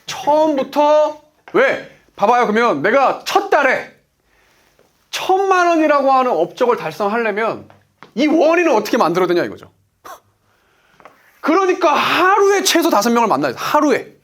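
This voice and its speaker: Guy giving a pumped-up business lecture, high pitched, voice swings all over, keeps asking and answering his own questions. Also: sing-song, normal speed, fairly bright tone. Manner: emphatic, asks rhetorical questions and answers them. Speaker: sales business coach. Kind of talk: motivational lecture.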